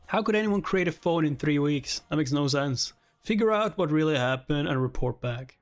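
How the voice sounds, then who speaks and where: A man's voice: fairly high pitch, animated, normal speed, soft background music, lightly acted. A man voicing a severe male company boss in a webtoon read-along, curt and accusing with his staff. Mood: demanding. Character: cold, demanding male corporate boss.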